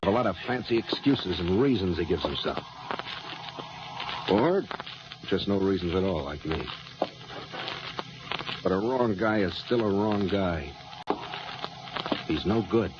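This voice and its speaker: An actor playing a tough, hard-bitten, weary gang leader in a radio crime drama, terse and blunt. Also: male voice, deep voice, expressive, unhurried, long pauses. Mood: weary, regretful. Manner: terse, slangy, blunt commands. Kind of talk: old-time radio crime drama acting. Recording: dull, noisy old radio recording.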